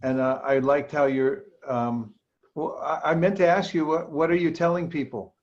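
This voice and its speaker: A man speaking to a group as a spiritual teacher, halting and repetitive, with many restarts. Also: on the high side, fairly even intonation, muffled recording. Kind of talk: warm encouragement and questions to a group.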